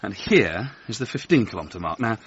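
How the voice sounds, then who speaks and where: Expressive well-spoken British athlete. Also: male voice, on the low side, fairly quick. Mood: puzzled. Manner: articulate, understated, polite. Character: well-spoken, earnest 1930s British amateur race walker. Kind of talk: radio drama acting. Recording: dull radio recording, faint background noise.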